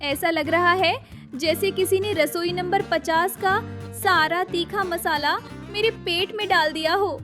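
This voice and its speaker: A woman's voice: very high voice, steady tone, unhurried, fairly bright tone, faint music behind. A woman voicing an overwhelmed, sassy young daughter-in-law in a Hindi cartoon story. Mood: whining in pain.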